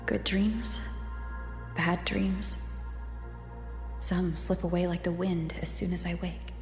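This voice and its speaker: An actress voicing a young, gentle, earnest wandering hero in a video game, speaking in a measured, reflective way like a narrated letter. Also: female voice, fairly low pitch, fairly even intonation. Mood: wistful. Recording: echoey, long reverb, music behind.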